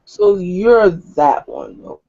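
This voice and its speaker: A man's voice: sits high, wildly swooping pitch, very slow, creaky, dark tone, dull audio, heavily acted. A man voicing an imperious, ill-tempered female leader who is curt and prying in a tabletop roleplaying game. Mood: prying, disdainful.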